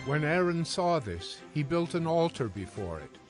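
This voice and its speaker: A man with a slightly high voice narrates scripture in a scripted audio drama. Music plays clearly behind him. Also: big pitch swings, moderate pace.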